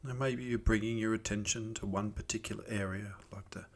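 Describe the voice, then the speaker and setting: Aussie guy doing guided meditation, lowish voice, gentle n soothing, takes his time with pauses. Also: steady tone. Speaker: meditation teacher.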